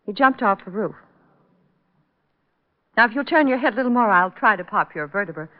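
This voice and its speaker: An American actress playing a guarded young salon masseuse with polite, clipped control, in old radio drama style. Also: female voice, medium pitch, animated, a little fast, close to an everyday voice. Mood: cold, controlled. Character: guarded young female salon masseuse hiding cold resentment. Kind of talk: 1940s-50s American radio drama acting. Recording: muffled, noisy old radio recording.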